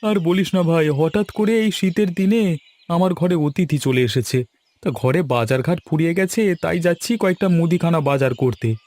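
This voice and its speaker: A man's voice: on the high side, normal speed, darkish tone, no echo, lightly acted. A man voicing a chatty, persuasive village matchmaker in a cartoon. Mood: friendly. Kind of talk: Bengali cartoon dialogue.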